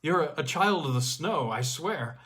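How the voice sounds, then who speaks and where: A man's voice: a little high, expressive, normal speed, fairly bright tone, pitched above the performer's own voice. A man playing an enraptured, pleading male climber who stammers his exclamations in a storybook reading.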